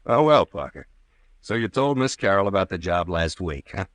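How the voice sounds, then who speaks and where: Old radio police captain: low voice, dry acoustic, stern, sardonic, relentless interrogator. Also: male voice, very animated, normal speed, a bit bright, dry recording. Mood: probing. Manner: pressing, sardonic questioning. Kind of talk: old-time radio drama acting.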